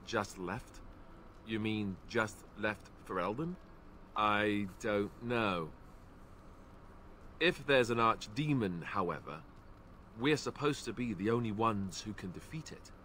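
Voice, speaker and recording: An actor playing an earnest, dutiful young warrior in a video game, speaking in a measured, matter-of-fact way. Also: male voice, lowish voice, animated, bright, forceful tone, slight background noise, exaggerated voice. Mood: resolute. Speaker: video game companion character. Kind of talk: video game dialogue acting.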